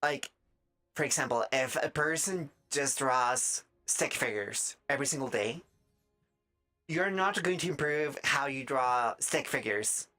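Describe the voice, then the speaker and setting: A streamer with a raspy, dry-throated voice, chatting casually in a halting way and often breaking off mid-thought. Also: mid-range voice, bright, forceful tone, faint music behind. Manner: halting, self-interrupting, trailing off mid-thought. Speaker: live streamer. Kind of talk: casual rambling chat while gaming, giving art advice.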